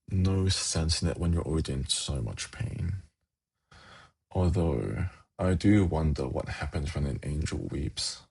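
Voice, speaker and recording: Man playing a gravelly, very deep-voiced demon prince. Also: a little mellow, exaggerated voice. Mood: tender, menacing. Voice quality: very low, gravelly, husky. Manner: slow, coaxing, teasing. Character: suave male demon prince, teasing, coaxing, gently menacing. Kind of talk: ASMR fantasy roleplay, intimate monologue to listener.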